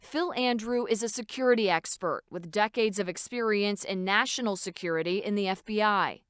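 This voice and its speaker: A woman's voice: mid-range voice, normal speed, fairly bright tone, smooth, dry recording, clean studio sound. A woman reporter with an American accent reading a scripted news narration.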